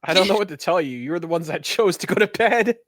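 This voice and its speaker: A man's voice: sits high, animated, fast talker, fairly bright tone, no room sound, clean studio sound. A man working as a game master, narrating an improvised tabletop story in a casual, colloquial way with joking banter. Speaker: game master and show host.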